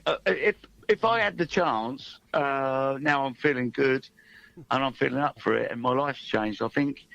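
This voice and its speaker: A middle-aged southern English man with a creaky, gravelly voice at an ordinary pitch. He chats casually as a musician guest, blokey and repetitive, on a slightly dull recording. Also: a little slow, a bit bright, dry recording. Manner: blokey, repeats himself. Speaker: musician guest on a radio show. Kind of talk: casual chat and anecdote.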